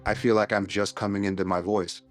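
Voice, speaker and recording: A man's voice: fairly low pitch, flat delivery, fairly quick. A man in his fifties, a longtime professional singer, speaking reflectively in an interview. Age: 50s. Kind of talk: interview talk. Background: music bed.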